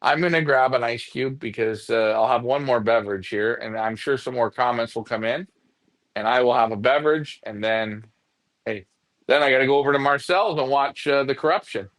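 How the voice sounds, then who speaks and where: A man's voice: medium pitch, fairly quick, fairly bright tone, dry recording. A man chats loosely about his pigeons and his week, wandering from one story to the next. His voice is in the middle range and sits dry and close, with no room around it. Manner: rambling storyteller, puts on voices in quoted talk. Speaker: co-host of a pigeon racing show. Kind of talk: casual chat.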